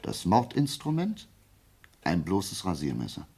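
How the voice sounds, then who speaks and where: An actor playing a brilliant, self-assured detective in a German radio play, explaining deliberately and prodding with rhetorical questions. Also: male voice, sits low, huge pitch swings, creaky, dark tone, breathy edge, heavily acted. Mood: analytical, emphatic. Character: brilliant, methodical male amateur detective, self-assured and theatrical.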